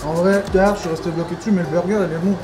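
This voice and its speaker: Slangy man under loud music. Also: high voice, fairly even intonation, rapid-fire, echoey room, rough recording. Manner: slangy, repetitive. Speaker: vlog companion. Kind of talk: casual chat.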